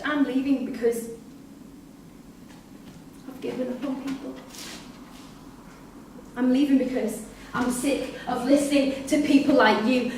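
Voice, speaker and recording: An actress in a live staged reading playing a disillusioned, confrontational prison worker with an emphatic, pointed delivery. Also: female voice, high voice, a little mellow. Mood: weary, angry. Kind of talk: live theatre acting. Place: theatre performance space in Salford. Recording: echoey hall, distant mic, faint noise.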